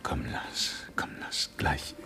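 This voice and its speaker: An actor playing an impatient, cynical yet reassuring secret lover in a radio drama, intimate and insistent. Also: male voice, takes it slowly, whispered, close to an everyday voice.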